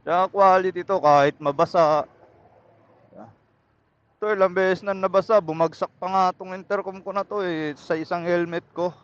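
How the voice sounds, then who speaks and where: A Filipino man with a somewhat high-pitched voice talks casually as he vlogs from his motorcycle. His voice comes through a helmet intercom mic, which leaves it dull and lo-fi. The delivery is informal chat, addressed to his viewers. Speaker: motovlogger. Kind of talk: casual vlog chat while riding. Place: outdoors on a motorcycle on the road. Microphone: helmet intercom microphone.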